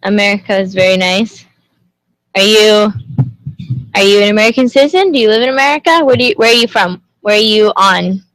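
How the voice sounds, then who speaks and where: A woman's voice: medium pitch, fairly quick, fairly bright tone, no room sound, poor audio. A young woman who grew up in Indonesia, hosting a casual livestream and chatting with viewers, greeting them and asking lots of questions. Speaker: livestream host.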